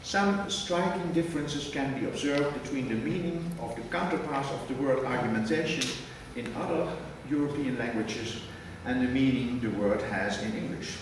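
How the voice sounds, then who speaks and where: Man lecturing in an echoey hall. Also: medium pitch. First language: Dutch. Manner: measured, reads a prepared text, precise wording. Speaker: academic lecturer. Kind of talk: formal academic conference lecture. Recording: echoey hall from far off with noise.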